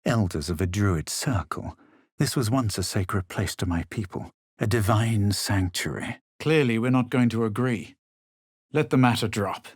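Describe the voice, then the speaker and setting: Video game hero, a self-assured, superior adventurer with a lowish voice, recorded dry and close. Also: male voice, a little slow, breathy edge, no room sound. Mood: measured, superior. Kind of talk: video game character voice lines.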